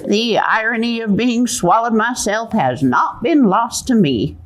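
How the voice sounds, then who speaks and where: Woman doing a little old lady for a kids puppet show, high voice, swoopy, folksy n wry, kinda resigned. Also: animated, measured pace, a little hiss or hum. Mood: rueful. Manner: folksy, drawling, teasing. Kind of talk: children's puppet show acting.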